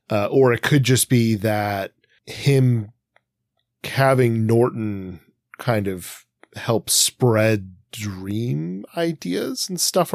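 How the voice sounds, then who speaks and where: A man's voice: fairly low pitch, vocal fry, no echo, clean studio sound. A man hosting a podcast, talking through comics analytically in long, winding, hesitant sentences as he thinks aloud. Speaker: podcast host. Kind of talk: casual analytical discussion of comics.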